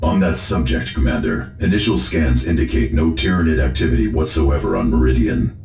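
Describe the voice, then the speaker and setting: An actor playing a solemn, methodical space marine tech-priest, giving matter-of-fact briefings in a video game. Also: male voice, extremely low pitch, very animated, fairly quick, vocal fry, a little mellow, slight background noise, exaggerated voice. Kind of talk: scripted game mission briefing. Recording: echoing voice with faint music behind.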